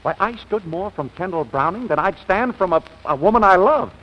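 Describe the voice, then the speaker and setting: Exasperated businessman, highish voice, animated pitch, indignant, emphatic, vintage radio comedy. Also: normal speed. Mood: aggrieved, ranting. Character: hot-tempered, exasperated businessman in romantic comedy. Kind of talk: 1940s radio comedy acting. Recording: muffled, hissy old radio recording.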